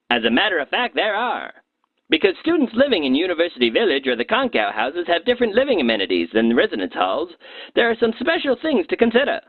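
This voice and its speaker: Animated man presenter, very wide pitch swings. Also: slightly rough, dry recording. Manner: tongue-in-cheek, mock-serious. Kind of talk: comedic scripted narration for a safety film. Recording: muffled, phone-like narrow band.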